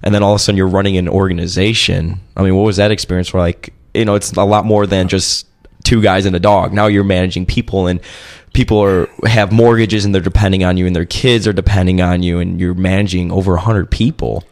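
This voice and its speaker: A man's voice: sits low, level tone, quick pace, vocal fry, hardly any pauses. A young man hosting an interview, asking questions and affirming often. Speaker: podcast host.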